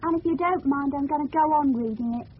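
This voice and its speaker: Aloof, teasing English teen girl played by a woman, very high offhand voice, tinny vintage radio. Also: fast talker, close to an everyday voice. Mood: bored, dismissive. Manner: curt, offhand, coy. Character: bored, dismissive, teasing teenage girl next door. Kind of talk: 1970s radio drama dialogue. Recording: tinny, faintly noisy old radio broadcast.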